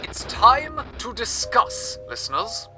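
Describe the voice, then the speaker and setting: A man hosting a radio show, telling a story in scripted, wordy, measured narration. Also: very high-pitched, big pitch swings. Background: music.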